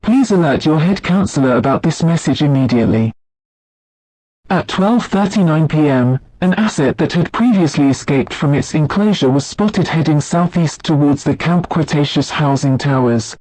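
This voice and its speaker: A man playing a theme park emergency announcer, reading scripted alerts in a formal, measured, impersonal manner. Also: fairly high pitch, expressive, darkish tone, no room sound, poor audio.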